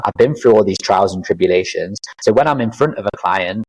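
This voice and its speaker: A man's voice: on the low side, animated, brisk, slightly rough, rough recording. A man in his thirties, a life coach guest on a podcast, talking earnestly and reflectively about his experiences with many fillers. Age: 30s. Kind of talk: casual interview chat.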